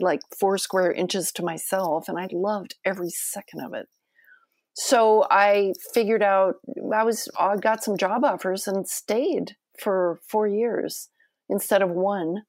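A woman with a soft voice, a guest telling animated stories in a casual interview. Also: medium pitch, dry recording.

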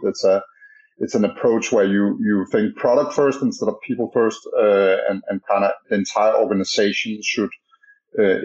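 A man with a Danish accent answers interview questions in a casual, halting way, full of uhs and restarts. His voice sits fairly low. The sound is dull and lo-fi, like a remote call. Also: darkish tone. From Denmark. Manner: halting, many fillers and restarts. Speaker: podcast guest, startup co-founder.

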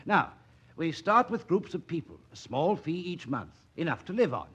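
An actor playing a blunt, sardonic, idealistic surgeon in the manner of a 1940s radio drama. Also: male voice, a little high, very animated, normal speed, a bit bright, breathy edge, highs cut off, heavily acted. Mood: fervent, impatient. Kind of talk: 1940s radio play acting.

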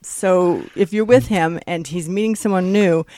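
A woman co-hosting a casual chat, backing up her partner's stories and gently correcting his details. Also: lowish voice, a little slow, no echo. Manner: supportive sidekick, backs up and corrects his stories. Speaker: podcast co-host.

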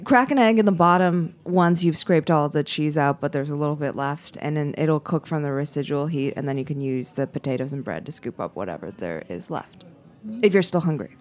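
A woman's voice: deep voice, expressive, fairly quick, darkish tone. A woman co-hosting a casual food talk show, upbeat and chatty. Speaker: podcast co-host. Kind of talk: casual chat.

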